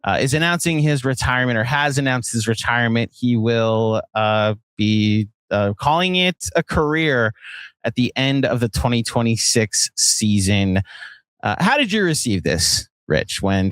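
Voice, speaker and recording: A man with a mid-pitched voice hosts casual sports talk. His tone is clean and smooth. The recording is tight and dry, without any echo. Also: lively intonation, moderate pace, a bit bright. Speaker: sports podcast host.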